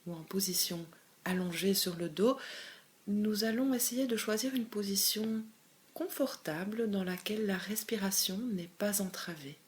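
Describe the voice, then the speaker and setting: A woman leading a guided meditation as a calm, measured guide, giving gentle step-by-step instructions with pauses. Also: mid-range voice. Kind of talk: guided body scan meditation.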